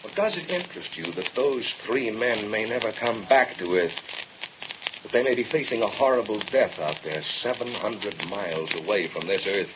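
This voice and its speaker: An actor playing an earnest, reproachful colleague of a scientist, insistent and dramatic in an old-time radio drama. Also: male voice, lowish voice, expressive, normal speed, lightly acted. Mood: reproachful, pressing. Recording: muffled, noisy vintage radio recording.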